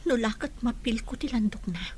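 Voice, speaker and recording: A woman's voice: fairly high pitch, a little fast, a little hiss or hum, lightly acted. An actress playing a desperate captive woman who plots her escape, talking to herself in an audio drama. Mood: straining, desperate. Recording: very loud music under the voice.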